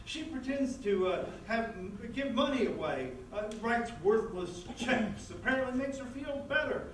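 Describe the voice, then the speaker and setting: A man's voice: very high voice, expressive, a little slow. A man playing a jittery, placating train conductor with a hesitant, reassuring manner in a staged comedy reading. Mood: nervous, chatty. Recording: distant, echoey stage recording, faint noise.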